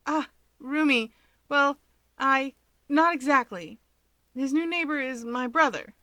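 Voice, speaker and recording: A woman voicing a shy, anxious, gentle young woman who speaks hesitantly in a story. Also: sits high, no room sound, voice pushed up, full character voice. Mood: flustered. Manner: hesitant, halting.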